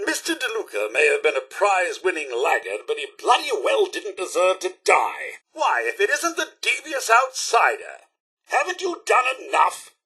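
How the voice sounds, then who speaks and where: Video game robot butler, British accent, prim and polite, dry wit, swooping intonation, raspy edge. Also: male voice, fairly high pitch, bright, forceful tone, full character voice. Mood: disapproving. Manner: prim, formal, theatrical, sardonic. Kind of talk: video game companion voice acting.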